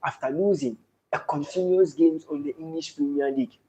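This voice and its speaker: A Ghanaian man with a slightly raised, husky voice hosts a football live stream. He showers viewers with thanks and greetings. He declaims the state of the league tables. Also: on the high side, fairly even intonation, brisk, dark tone, rough recording. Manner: declaiming sports talk. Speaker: live-stream sports host.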